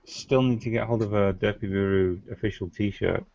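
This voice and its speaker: A man's voice: lowish voice, fairly even intonation, moderate pace, vocal fry, a little mellow. A man joking around in a casual group voice chat, often stumbling and restarting his sentences. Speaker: chat participant. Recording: dull lo-fi voice chat with faint hiss.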